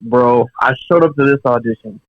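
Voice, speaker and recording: Animated male storyteller, muffled dry audio. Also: mid-range voice, moderate pace, dry recording. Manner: animated, lots of "you know" fillers and restarts. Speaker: guest drummer. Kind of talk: casual storytelling in an interview.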